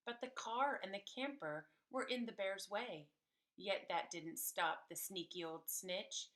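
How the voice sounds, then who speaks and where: Female preschool teacher storyteller: slightly low, bright voice, unhurried and emphatic read-aloud for small children. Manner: animated, emphatic, pausing to explain to children.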